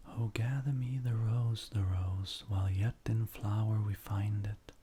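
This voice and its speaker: A man reads in a breathy, near-whispered ASMR voice. His tone is mellow and soft, and he moves slowly and gently through each phrase. A faint noise sits behind him. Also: fairly low pitch, fairly even intonation. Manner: gentle, unhurried, measured. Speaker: ASMR creator reading poetry. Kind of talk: soft-spoken ASMR poetry reading.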